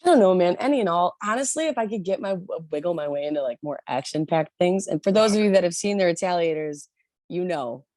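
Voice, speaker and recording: A woman from the Detroit area, a rock singer with a low voice, chatting loosely in an interview with many restarts. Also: expressive, fast, darkish tone, no room sound, studio quality. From Detroit area, USA. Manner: rambling, many restarts and fillers. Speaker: rock band lead singer, guest. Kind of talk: casual interview chat.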